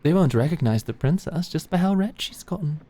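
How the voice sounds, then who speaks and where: Woman doing a teasing lady knight, deep voice, dry humour, medieval roleplay, some ambience behind. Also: lively intonation, a little fast, mellow, slight background noise. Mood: teasing, affectionate. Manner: dry, sardonic, playful. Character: wry, teasing lady knight of the royal court. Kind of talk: medieval fantasy audio roleplay acting.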